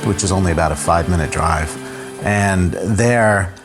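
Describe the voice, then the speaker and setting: Calm, reflective low-voiced man. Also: moderate pace, over music. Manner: reflective, calm, with frequent "you know" fillers. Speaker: interview guest, filmmaker and journalist. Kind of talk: conversational personal account.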